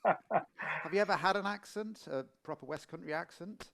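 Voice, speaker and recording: A man from Portsmouth with a Middle England accent, hosting a casual interview and telling relaxed anecdotes. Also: high voice, brisk, creaky. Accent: Middle English. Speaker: interviewer, podcast host.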